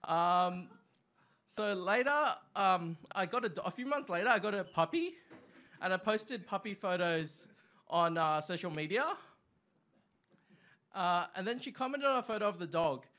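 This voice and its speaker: Bright-voiced man doing stand-up. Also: high voice, animated. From Australia. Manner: halting delivery with many ums and uhs. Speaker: stand-up comic. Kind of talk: open mic stand-up comedy. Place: small dark club stage. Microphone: stand mic, far camera.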